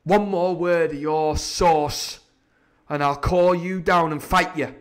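A man acts out a swaggering pirate sea cook from a storybook, pitched up high and pushed loud. He blusters and commands in rough sailor's speech, with a sardonic edge. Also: steady tone, takes it slowly, faint music behind. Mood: angry, threatening. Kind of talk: theatrical storybook reading.

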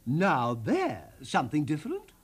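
A man's voice: fairly high pitch, wildly swooping pitch, unhurried, slightly rough, heavily acted. A man voicing a clever, well-bred young storybook prince who muses and exclaims in a mannered way. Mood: musing.

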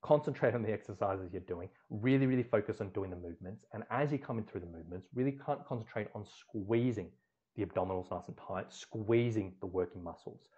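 A man's voice: fairly low pitch, a little fast, warm tone, dull audio. A man in his thirties teaching a slide lesson as a strength coach, chatty and repeating words for emphasis, recorded on a webcam indoors. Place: indoor room, webcam in a corner circle.

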